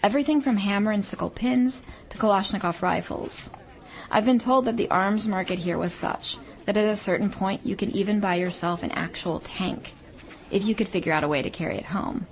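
A woman radio reporter reading a scripted feature report in a measured, descriptive way. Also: medium pitch. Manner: measured, descriptive storytelling delivery.

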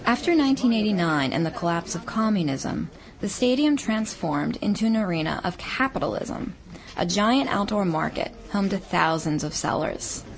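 A woman radio reporter reading a scripted feature report in a measured, descriptive way. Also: medium pitch, lively intonation, crowd noise. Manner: measured, descriptive storytelling delivery.

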